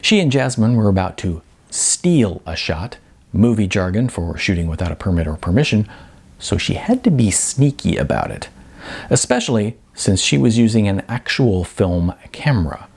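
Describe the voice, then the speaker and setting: A man reading a novel as its author, in expressive audiobook narration with deliberate, emphatic phrasing. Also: lowish voice, vocal fry, husky.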